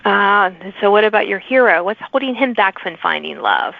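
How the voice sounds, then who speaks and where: A woman's voice: mid-range voice, animated, moderate pace, creaky, dry recording, phone-quality sound. A woman hosts an interview podcast in a mid-range voice. The recording is dry, with very little room sound. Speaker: podcast host.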